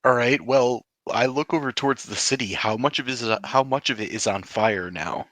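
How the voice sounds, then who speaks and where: A man's voice: medium pitch, moderate pace, a bit bright, dry recording. A man talking casually as a player in a tabletop roleplaying game.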